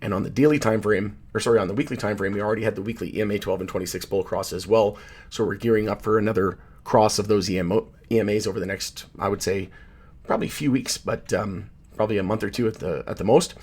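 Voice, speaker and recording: A man hosting a casual market commentary, hoarse from losing his voice, rambling and correcting himself as he goes. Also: fairly low pitch, quick pace, a little mellow. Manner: rambling, self-correcting, loose with fillers. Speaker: channel host, market commentator. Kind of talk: casual chart commentary to camera.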